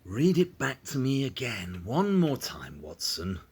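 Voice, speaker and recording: Imperious detective, swooping intonation. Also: male voice, mid-range voice, wildly swooping pitch, measured pace, darkish tone, slightly rough, heavily acted. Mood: impatient. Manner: clipped, condescending, dry. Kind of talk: audio play acting.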